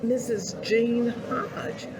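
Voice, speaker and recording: An older woman with a hoarse voice, speaking earnestly and reflectively as a guest in a conversational interview. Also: fairly high pitch, steady tone, drawn-out words, a crowd in the background. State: hoarse voice after illness. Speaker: podcast guest, community leader.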